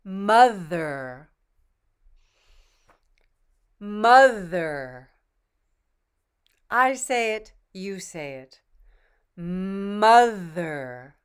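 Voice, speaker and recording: Female pronunciation coach, very slow and patient, smooth clear voice, slightly low. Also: lively intonation. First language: English. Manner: models each word twice, patient and encouraging. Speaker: pronunciation teacher. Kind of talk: slow, deliberate pronunciation drill.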